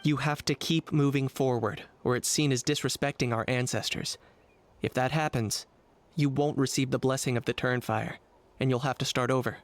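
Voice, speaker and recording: Composed young male game warrior male actor, measured, instructive, middle register. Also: medium pitch, fairly even intonation, lightly acted. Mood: calm, instructive. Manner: matter-of-fact, measured, instructive. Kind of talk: video game character acting.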